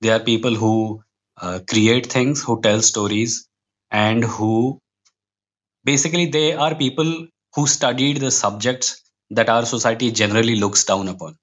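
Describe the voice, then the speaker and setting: A man with an Indian accent speaks in a mid-pitched voice as an interview guest. He is reflective and opinionated, building his points one after another in a conversational way. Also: fairly even intonation, a little slow. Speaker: guest, comic book writer.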